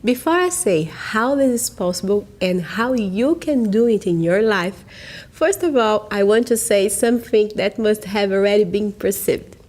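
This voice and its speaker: A Brazilian woman whose first language is Portuguese, speaking English with a Brazilian accent in an earnest, persuasive, slightly halting way as she presents a vision course. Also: mid-range voice, animated, darkish tone. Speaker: natural vision course instructor.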